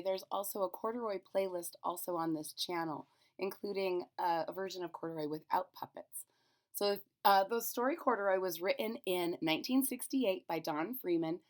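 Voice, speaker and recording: A woman reading a story aloud to children, adding simple explanatory asides. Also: mid-range voice.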